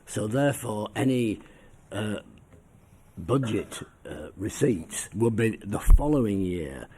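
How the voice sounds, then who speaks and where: A councillor with a heavy cold putting polite, deliberate questions to a committee. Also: male voice, fairly low pitch, expressive, dark tone, breathy edge.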